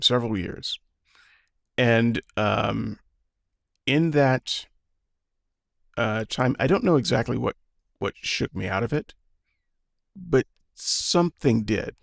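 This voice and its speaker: A man in his fifties talking casually and reflectively, pausing often with fillers and restarts. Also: lowish voice, vocal fry, no room sound, clean studio sound. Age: 50s. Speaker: podcast guest. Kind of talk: casual opinion talk.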